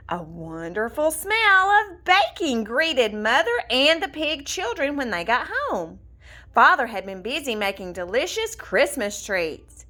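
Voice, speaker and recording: A woman reading a children's storybook aloud in an expressive, deliberate storytelling manner. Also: high voice. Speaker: storybook reader. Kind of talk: scripted children's story narration, read aloud.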